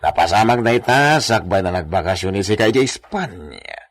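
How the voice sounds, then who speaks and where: An actor playing a sly, insinuating friend in a radio drama, theatrical and raspy. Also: male voice, lowish voice, animated, brisk, no room sound. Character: sly, insinuating male friend of wealthy landowner.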